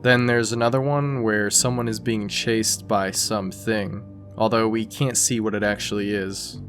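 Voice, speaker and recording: Male narrator for an explainer. Scripted but conversational and informal, soft music bed behind. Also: fairly low pitch, fairly even intonation, moderate pace. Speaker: video essay narrator.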